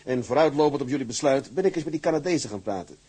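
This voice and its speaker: An actor playing a resolute, burdened sea captain in a radio drama. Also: male voice, medium pitch, animated, rapid-fire, darkish tone, breathy edge. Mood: scheming, confident. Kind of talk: radio drama acting with letter-reading monologues.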